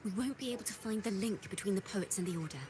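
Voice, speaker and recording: A woman's voice: medium pitch, fast, background noise, close to an everyday voice. A woman acting a poised, articulate fantasy poet mentor. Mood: thoughtful. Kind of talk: scripted video game dialogue. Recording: game dialogue over music.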